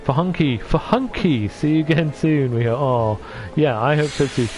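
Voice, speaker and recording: A man from North Wales streaming from his sofa at home. He chats gently with his viewers and often stops and restarts. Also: a little high, lively intonation, moderate pace, music in the background, rough recording. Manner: gentle, hesitant, with many restarts. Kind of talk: casual chat reading out viewer comments.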